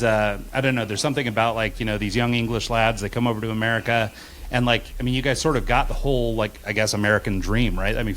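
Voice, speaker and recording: A man's voice: medium pitch, a bit bright, slight background noise. A man hosting a podcast, asking long, winding questions full of hedges. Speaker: podcast host. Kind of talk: casual interview questions.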